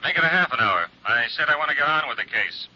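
An actor playing an impatient New York police sergeant over the telephone in a 1950s radio drama. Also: male voice, a little high, expressive, quick pace, pushed and bright, full character voice. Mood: curt, impatient. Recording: harsh, muffled telephone line on old radio.

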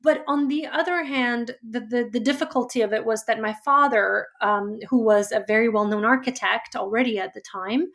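Reflective Georgian-born woman, slightly high voice. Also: a bit bright. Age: 30s. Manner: reflective, measured. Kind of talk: personal storytelling.

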